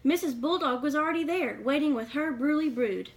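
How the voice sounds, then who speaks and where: A woman's voice: high voice, fairly quick. A woman reading a children's picture book aloud expressively, with questioning asides to young listeners. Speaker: read-aloud reader.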